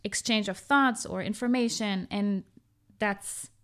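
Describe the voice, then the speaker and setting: A woman's voice: medium pitch, takes it slowly, a bit bright. A woman from Germany, a podcast guest, talking reflectively with many hesitations and restarts. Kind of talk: casual conversation.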